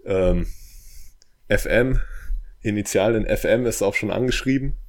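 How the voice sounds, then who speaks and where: A young man with a low-pitched voice talks as a podcast co-host. His delivery is casual and conversational, with a playful, tongue-in-cheek manner. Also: unhurried.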